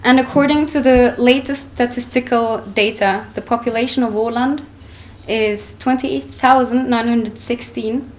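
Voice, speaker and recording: A woman's voice: fairly high pitch, fairly even intonation. Young woman giving a conference talk at a lectern. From Finland. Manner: measured, reading with frequent ums and restarts. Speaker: conference speaker. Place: wood-panelled conference hall, indoors. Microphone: lectern microphone.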